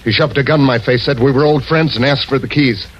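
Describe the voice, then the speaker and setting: An actor as shifty American small-time crook, noisy recording. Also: male voice, mid-range voice, fast talker, muffled recording, close to an everyday voice. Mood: resigned. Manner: grudging, slangy, evasive. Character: shifty, surly male small-time crook, grudging informant. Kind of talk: 1940s radio crime drama acting. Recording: noisy vintage radio recording.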